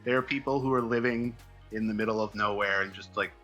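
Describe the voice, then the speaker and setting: A man's voice: fairly low pitch, fairly even intonation, a little fast, a bit bright, highs cut off, soft background music. A man chatting casually as an interview guest, telling things in a rambling, wry, informal way. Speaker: guest comics writer.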